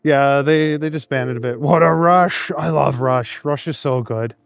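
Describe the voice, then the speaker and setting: A man's voice: fairly high pitch, unhurried, creaky, clear, resonant tone. A man live streaming while out on cold city streets, reading chat aloud in an easygoing, chuckling, rambling way. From Canada.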